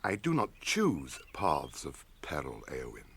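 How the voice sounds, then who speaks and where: A man's voice: extremely low pitch, huge pitch swings, a little slow, gravelly, a bit bright, a little hiss or hum, full character voice. An actor playing a stern, resolute noble ranger lord in a radio drama, speaking formally and declaiming his lines. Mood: grave, gentle. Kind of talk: classic radio drama acting.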